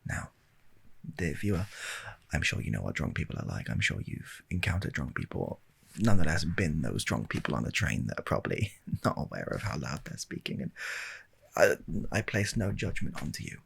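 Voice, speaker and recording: A British man telling a rambling anecdote in a soft, breathy ASMR style, hesitant and wryly understated, close to the microphone. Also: rumbling low, big pitch swings, normal speed. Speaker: ASMR video creator. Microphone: handheld stereo recorder, close up.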